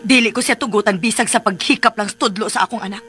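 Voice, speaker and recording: A woman playing a wounded but resolute young mother in a radio drama, with a firm, measured delivery. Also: medium pitch, sharp tone, faint music behind, natural voice.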